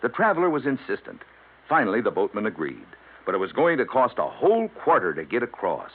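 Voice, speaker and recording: A man narrating a folk tale in a measured, folksy, relaxed way. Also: medium pitch, husky. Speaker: storyteller. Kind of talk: folklore storytelling narration. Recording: tinny old radio broadcast.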